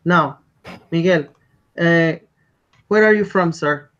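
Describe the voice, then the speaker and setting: Casting: man, fairly high voice, polite exam interviewer on a muffled video call. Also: a bit bright, slight background noise. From Colombia. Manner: polite, measured, repeats instructions. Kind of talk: structured spoken exam interview. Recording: dull lo-fi call audio.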